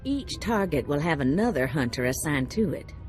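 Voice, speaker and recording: An actress playing an upbeat, streetwise female handler who briefs a hunter in a brisk, breezy way. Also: sits low, very animated, darkish tone, faint music behind.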